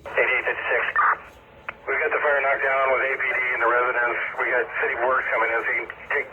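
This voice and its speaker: A man's voice: mid-range voice, level tone, fast. Muffled male responder on noisy radio. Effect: two-way radio. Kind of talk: terse fire radio traffic. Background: loud radio noise.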